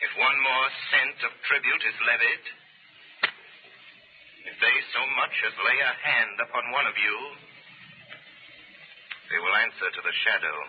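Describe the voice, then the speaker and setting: A man playing an unseen avenger in a 1930s radio drama, speaking in a solemn, deliberate, commanding and menacing way. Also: medium pitch, close to an everyday voice. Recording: tinny, narrow-band noisy 1930s radio recording.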